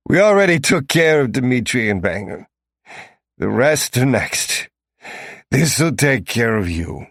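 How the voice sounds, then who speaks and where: A man's voice: mid-range voice, sing-song, a little slow, fairly bright tone, no room sound, studio quality, exaggerated voice. Man doing a sneering cruel male thug. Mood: taunting. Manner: taunting, barking.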